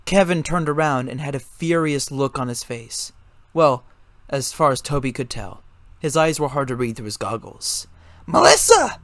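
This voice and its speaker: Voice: mid-range voice, normal speed, dry recording. A narrator giving a scripted dramatic reading of a story.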